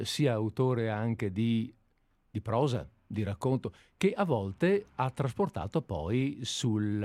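Man, mid-pitched voice, informal literary talk, hesitant phrasing, searching for words, dry sound. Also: dark tone, no echo. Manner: hesitant, halting, searching for words with frequent repetitions. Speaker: host of a literary radio discussion.

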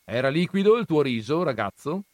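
A man reading aloud, voicing a stern old grandfather who questions his grandsons in a loud, commanding way. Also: fairly high pitch, sing-song, brisk, no room sound, studio quality, pitched above the performer's own voice.

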